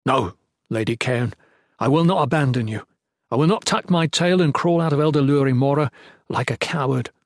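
A man's voice: medium pitch, moderate pace, no echo, natural voice. A man voicing a defiant, loyal guardsman in a fantasy audiobook, speaking firmly with dry formality and stoic pride.

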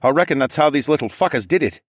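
Man acting an eager young guy, raised pitch. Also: lively intonation, fast talker, no room sound, studio quality.

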